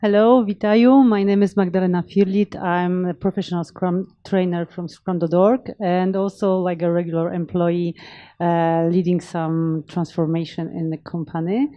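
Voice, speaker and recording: Female trainer, low mellow voice, slightly dull dry sound. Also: flat delivery, normal speed, dry recording. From Poland. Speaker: Scrum trainer.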